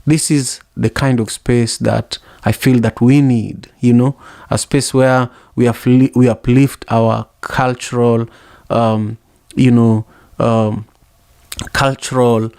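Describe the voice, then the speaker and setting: An effusive man hosting a live audio room, from Kenya, with a voice of middling pitch. He often repeats words as he hunts for the next thought. The sound is close and dry, with no room in it. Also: flat delivery, slow, vocal fry, darkish tone, no room sound. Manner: effusive, repeats himself while searching for words. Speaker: host. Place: home setup, live audio app.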